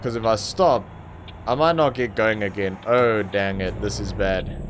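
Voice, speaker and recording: A man's voice: fairly low pitch, measured pace, a little hiss or hum. A young man from Africa, an Afrikaans speaker, rambling through casual commentary on a truck driving game with plenty of ums and restarts. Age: young adult. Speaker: gaming channel host.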